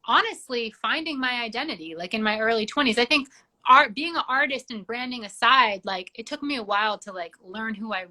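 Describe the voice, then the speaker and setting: A woman's voice: fairly high pitch, animated, a little fast, sharp tone. A young woman from Georgia, a singer-songwriter whose first language is Georgian, chatting casually as an interview guest and filling her talk with "like". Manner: chatty, many "like" fillers.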